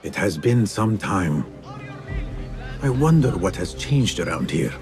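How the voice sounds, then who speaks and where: An actor playing a young former street thief turned assassin in a video game, speaking in an earnest, measured, courteous way. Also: male voice, low voice, very animated, over music, full character voice. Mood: earnest, reflective. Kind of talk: video game character acting.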